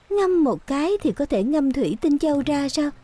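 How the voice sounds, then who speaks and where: A woman's voice: high voice, lively intonation, normal speed, mellow. A woman dubbing a pensive, playful young heroine in an ancient costume drama, musing aloud expressively.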